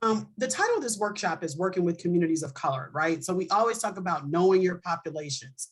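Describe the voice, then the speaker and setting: A woman's voice: fairly low pitch, expressive, fast talker, breathy edge. A woman moderating a workshop on a video call, upbeat as she thanks the speaker and moves the talk along. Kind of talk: moderating a webinar.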